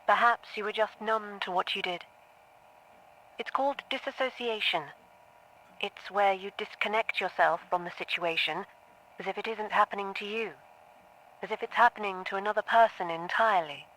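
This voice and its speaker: An actress playing a composed, clinical female therapist in an audio drama, speaking in a measured and neutral way. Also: mid-range voice, a bit bright, slight background noise, natural voice, poor audio. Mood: detached, clinical.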